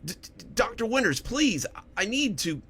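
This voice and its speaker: A man's voice: high-pitched, sing-song, moderate pace, pushed and bright, slightly rough, voice pushed up, heavily acted. A man voicing a confused, desperate male writer who stammers and pleads in a horror audio story. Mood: desperate pleading.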